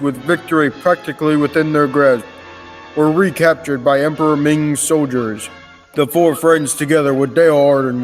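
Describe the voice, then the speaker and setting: A young man narrating an old-time radio adventure serial from a script. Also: on the high side. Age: teens to young adult.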